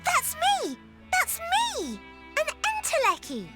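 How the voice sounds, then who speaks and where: A woman voicing an innocent, eager childlike girl creature in a video game, speaking in short, halting, simple phrases. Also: extremely high pitch, wildly swooping pitch, pushed and bright, faint music behind, full character voice. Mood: excited. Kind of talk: video game cutscene acting.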